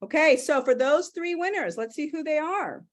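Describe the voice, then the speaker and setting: A woman's voice: high voice, animated, moderate pace. A woman presenting a training session over a video call, chatty and upbeat with frequent fillers. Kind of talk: casual webinar presentation.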